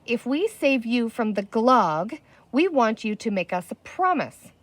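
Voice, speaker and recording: A woman's voice: fairly high pitch, big pitch swings, unhurried, no room sound. A woman reading a children's storybook in the part of a bossy, exasperated young girl with a firm, scolding manner. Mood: firm bargaining.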